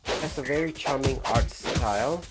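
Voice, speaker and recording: A man's voice: medium pitch, normal speed, over loud music. A man streaming a video game who is phlegmy and still getting over the flu. He rambles casually and chats with his viewers as he plays. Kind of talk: casual live gameplay commentary.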